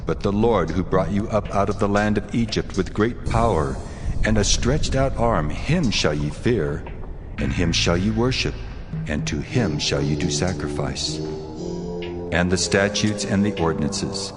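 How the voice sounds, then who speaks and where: Deep solemn commanding voice of God. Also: male voice, unhurried. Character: God, solemn commanding male divine voice laying down law. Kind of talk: dramatized scripture reading. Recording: music bed under the voice.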